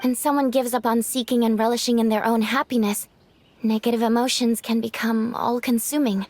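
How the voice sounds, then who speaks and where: A woman voicing a composed, analytical young female therapist in a video game, measured and explanatory. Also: on the high side, steady tone, darkish tone, no echo, lightly acted. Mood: grave, clinical. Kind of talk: animated video game dialogue.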